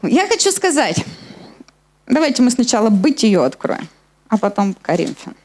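A woman with a high-pitched voice delivers a sermon from a church stage. She is middle-aged and explains scripture step by step, speaking directly to her listeners through a handheld microphone. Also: big pitch swings, moderate pace, darkish tone. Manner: explanatory, addressing listeners with questions. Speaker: preacher. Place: church hall stage at a lectern, indoors.

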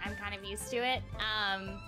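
A woman's voice: medium pitch, steady tone, normal speed. A young woman chatting casually and informally on a game livestream, with a bubbly manner, close on the mic. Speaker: game streamer and voice actress. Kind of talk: casual livestream chat over gameplay. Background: game music.

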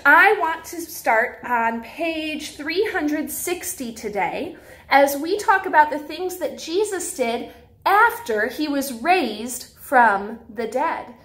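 Animated, high-voiced young American woman. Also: slow. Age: young adult. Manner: animated, simple and clearly phrased for children. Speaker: preschool teacher. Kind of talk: read-aloud and talk for young children. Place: small classroom, indoors.

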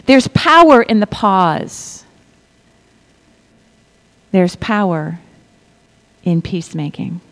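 A middle-aged woman preaching a sermon, reading scripture in a measured way and dropping to a whisper for effect. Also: medium pitch, big pitch swings, rough recording. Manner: slow, deliberate delivery with pauses and soft whispered stretches; asks the congregation to repeat lines.

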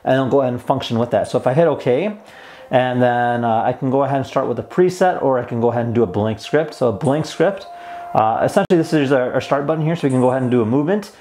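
A man's voice: mid-range voice, fast talker, warm tone, slight background noise. A man in his twenties giving a casual hands-on product demo in an easygoing, mildly enthusiastic way. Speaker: host of a toy review channel. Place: plain indoor room at a table.

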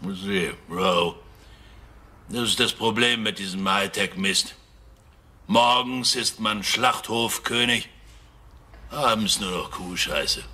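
Gruff, husky, hard-edged corporate boss. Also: male voice, fairly low pitch, slow pace, long pauses, sharp tone, heavily acted. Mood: gruff, skeptical. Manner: blunt, coarse. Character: bullish, crude corporate boss in his fifties. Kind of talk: German audio drama acting.

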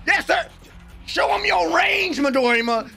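Animated young man, high-pitched and shouty. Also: measured pace, vocal fry, faint music behind. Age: young adult. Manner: animated, slangy, hyped exclamations. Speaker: anime reaction host. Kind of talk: excited live reaction commentary. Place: small room at home, indoors. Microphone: desk microphone, close.

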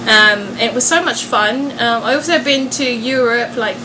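A young woman talks in a fairly high voice, casually answering questions for her viewers. She is chatty and pokes fun at herself. There is clear noise behind her voice. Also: moderate pace. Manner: chatty, self-joking. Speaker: vlogger and singer.

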